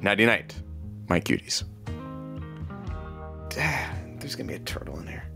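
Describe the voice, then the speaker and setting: A man's voice: lowish voice, sing-song, unhurried, vocal fry, music in the background, exaggerated voice. A man playing a wry, mock-dramatic radio announcer and sidekick in a comic audio drama. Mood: breezy signing off.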